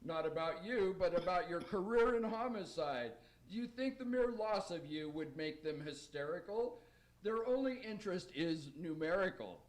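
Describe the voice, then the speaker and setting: A man's voice: sits high, expressive, moderate pace, far from the mic. Kindly, earnest Father Christmas figure, played by a man, reciting radio-play verse in a roomy echo, off mic. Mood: wry, unruffled. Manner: declaiming rhymed verse, earnest. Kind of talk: amateur radio play acting.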